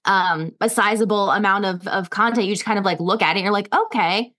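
A woman's voice: mid-range voice, brisk, fairly bright tone. A woman writing coach chatting casually and encouragingly, with enthusiastic asides and the odd swear word.

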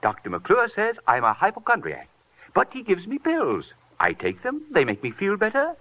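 An actor playing a whimsical, childish, pompous millionaire toy maker with precise diction, in 1940s radio style. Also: male voice, high voice, huge pitch swings, moderate pace. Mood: prim. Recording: dull vintage 1940s radio recording.

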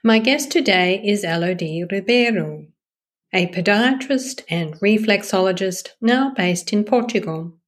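Woman podcast host, mid-range voice, careful scripted delivery and relaxed questions, phone recording. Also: mid-range voice. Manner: measured and formal when reading, chattier when asking questions. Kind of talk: scripted introduction then interview questions. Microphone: phone over a messaging-app call.

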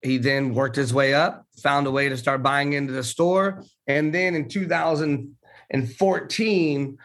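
A man in his thirties from Central Florida, a dealership owner answering interview questions in an earnest, halting way with fillers over a remote call. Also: medium pitch, steady tone, a bit bright. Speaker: car dealership owner, guest. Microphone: remote video call.

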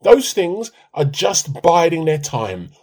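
A man's voice: a little high, takes it slowly, full character voice. A man, playing a timid, comically earnest, melodramatic husband in a radio play. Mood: dark, melodramatic. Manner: pompous, excitable. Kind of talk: amateur radio play dialogue.

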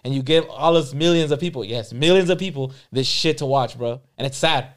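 A man's voice: medium pitch, a little fast. A man co-hosting a casual podcast, emphatic and profane, talking over the others. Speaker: podcast co-host.

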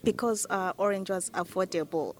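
A woman from Zimbabwe, a new citizen, talking in an excited, cheerful way. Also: lowish voice, normal speed, noisy background. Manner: excited, cheerful, laughing easily.

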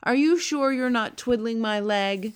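Lady doing a sweet friendly giant guy from a kids storybook, pitched up, earnest, muddles his grammar. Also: unhurried, a little hiss or hum, lightly acted. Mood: delighted. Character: gentle, honorable, childlike big friendly male giant.

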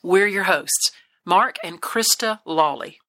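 A woman's voice: fairly low pitch, slow, pushed and bright. A woman reading a scripted podcast introduction as the announcer.

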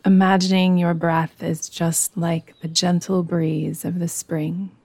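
A woman guiding a yoga nidra meditation in a soothing, gentle, unhurried manner. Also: on the low side, level tone, no room sound. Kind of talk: guided meditation.

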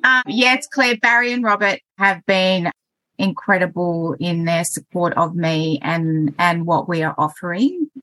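A woman explaining her work as a podcast guest, committed and often saying "you know". Her voice is lower than most women's, and the recording is dry and close. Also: unhurried, sharp tone, no room sound, rough recording. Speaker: foundation manager, guest. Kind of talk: podcast interview.

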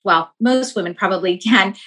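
A woman's voice: medium pitch, pushed and bright. A woman in her thirties, a wellness coach guest, talking casually and chattily, with lots of fillers. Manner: chatty, full of fillers, quick bursts. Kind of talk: casual interview chat.

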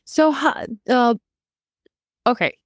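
A woman's voice: high-pitched, takes it slowly, no room sound. Brief: woman, Romanian accent, co-host, searches for words at times, dry mic. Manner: sometimes searches for words, friendly. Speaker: podcast co-host. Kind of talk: casual podcast conversation.

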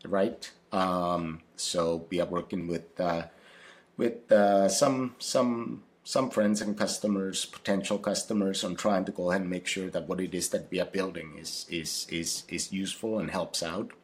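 A man speaks in a very low voice. He answers interview questions haltingly and fills his pauses with many fillers and restarts. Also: steady tone. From Finland. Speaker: podcast guest, company founder.

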